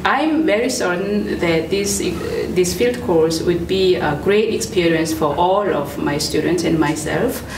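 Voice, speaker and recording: A woman with a slightly low voice, speaking steadily about her course with only an occasional filler. The room echoes and she sits back from the mic. Music plays softly behind her. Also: measured pace, hardly any pauses, far from the mic, background noise. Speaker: course instructor. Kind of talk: promotional interview.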